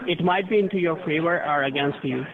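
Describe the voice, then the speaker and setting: A man with a fairly high voice speaks through a narrow, tinny phone line. He sounds formal and officious, working through a script of procedures and instructions like an official. Busy chatter from other people fills the background. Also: fairly quick. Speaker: phone scam caller posing as a tax officer. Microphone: telephone.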